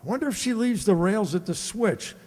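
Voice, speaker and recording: A man's voice: sits high, lively intonation, brisk, a little mellow. A man playing a nervous, loyal gangster henchman in a radio drama, with blunt, anxious tough-guy talk. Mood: uneasy. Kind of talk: old-time radio drama acting. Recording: hollow reverb with background noise.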